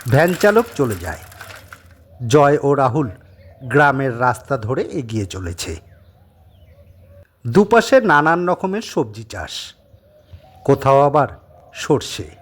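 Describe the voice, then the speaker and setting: A man narrating a scripted dramatic story in an expressive manner. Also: medium pitch, takes it slowly, long pauses, husky.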